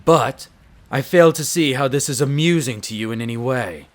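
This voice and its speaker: A man acts out a proud male vampire aristocrat for an audio roleplay. He is haughty and easily flustered, with formal, imperious phrasing and a mid-pitched voice. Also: moderate pace, lightly acted. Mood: haughty, irritated. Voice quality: haughty, clipped formal diction.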